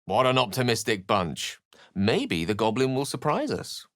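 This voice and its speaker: A man's voice: mid-range voice, sing-song, unhurried, fairly bright tone, no room sound, clean studio sound. A male actor voicing a bookish, courteous wizard for a video game, speaking in wry, brooding, articulate and literary phrases. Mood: wry, musing. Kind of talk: video game voice acting, narration and dialogue.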